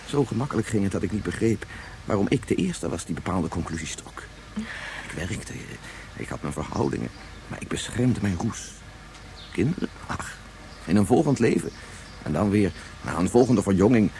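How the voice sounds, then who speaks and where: An actor playing an anguished, regretful scientist of great age in a Dutch science fiction radio play, speaking haltingly and turning back on his own words. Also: on the low side, very animated, mellow, a little hiss or hum. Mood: brooding, regretful. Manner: halting, repetitive, ruminative. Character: anguished 177-year-old scientist, brooding, regretful father.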